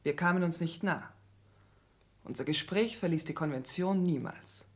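An actress playing an earnest, proud young countess and dutiful daughter in a 1960s German radio drama, with formal, often hushed delivery. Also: female voice, fairly low pitch, moderate pace, lightly acted. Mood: resolute.

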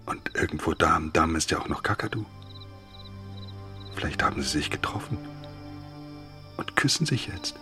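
A man's voice: rumbling low, expressive, normal speed, pauses often, bright, forceful tone, soft background music, heavily acted. A man acting a jealous, exhausted engineer, a melodramatic husband in a German radio play. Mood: suspicious brooding.

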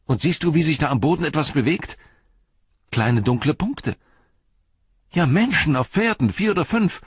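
A man voicing a frightened, excitable young hobbit in a storybook reading, with urgent, breathless exclamations. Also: fairly high pitch, normal speed, breathy edge, no echo, dull audio, pitched above the performer's own voice. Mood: alarmed, pleading.

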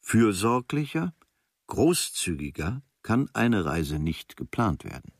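A low-pitched, reflective male writer looks back on his journeys. He reads his memoir in a measured, formal way, with quiet gratitude. The sound is very dry, with no room around the voice. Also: sing-song, no room sound, full character voice. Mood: reflective, grateful. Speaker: reader of a writer's travel diary preface. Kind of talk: literary first-person reading of a memoir.

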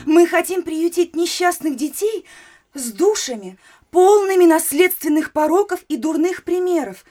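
An actress playing a flirtatious, affected high-society lady in an audio drama, with a playful and mannered delivery. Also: normal speed, dark tone. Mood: flirtatious indignation.

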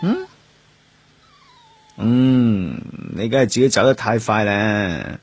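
An actor with a Hong Kong Cantonese accent playing a lonely, grieving, gentle police detective in a radio drama. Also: male voice, on the low side, slow, slight background noise. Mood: playful, coaxing. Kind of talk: radio drama, narrated inner monologue and dialogue. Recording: music under the voice. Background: background music.

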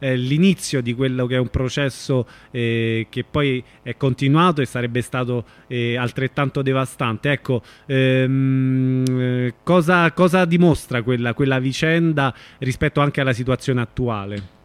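A man's voice: medium pitch, unhurried, clean tone. A man hosting an informal radio interview, phrasing his questions hesitantly.

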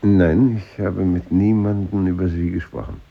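An actor playing an earnest schoolteacher in a 1950s radio drama, formal, probing and insistent. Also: male voice, deep voice, steady tone, moderate pace, vocal fry. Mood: polite, concerned. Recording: muffled old radio recording.

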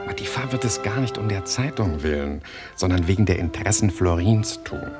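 A very deep-voiced, worldly Parisian journalist in a radio play. He is glib, cynical and persuasive, a mocking schemer, with music playing clearly behind him. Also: animated, a little fast. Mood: cynical, brisk. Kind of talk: German radio play acting.

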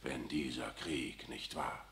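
An actor playing a worldly, cynical older cardinal who talks in an ironic, confiding way in a 1960s radio drama. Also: male voice, extremely low pitch, slow, creaky, a bit bright, roomy sound, exaggerated voice. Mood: sly. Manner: ironic, confiding, keeps adding "nicht wahr".

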